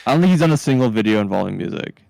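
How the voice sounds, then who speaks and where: Casual man with a creaky voice. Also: on the low side, animated, rapid-fire, a little mellow, no echo, faint music behind. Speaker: game streamer. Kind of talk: casual chat over gameplay.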